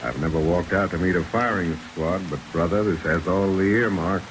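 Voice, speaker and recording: An actor playing a tough, cool-headed detective in an old radio drama, speaking in a dry, laconic, sardonic way. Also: male voice, sits low, normal speed, background noise, lightly acted. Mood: wry. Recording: old radio recording under rain sounds.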